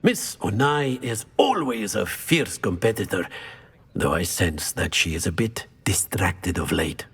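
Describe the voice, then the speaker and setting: An actor playing a jovial wizard professor in a video game, theatrical and playful, with a husky voice. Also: male voice, sits low, lively intonation, fairly bright tone, heavily acted. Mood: wise, encouraging. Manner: theatrical, playful, with drawn-out tag questions. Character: jovial, theatrical male wizard professor who relishes contests. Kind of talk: video game character acting.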